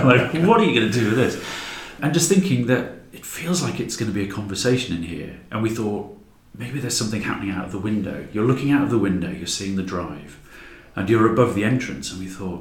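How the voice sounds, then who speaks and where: A man who makes audio dramas, chatting casually and anecdotally as a podcast guest. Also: lowish voice. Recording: echoey room.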